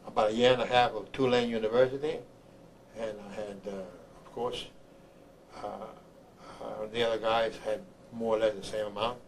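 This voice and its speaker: An older man from Honduras, an army veteran, recounts his memories haltingly, repeating words as he searches for the next one. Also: mid-range voice, darkish tone, low-quality recording. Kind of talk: oral history recollection. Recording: clear background noise, room ambience.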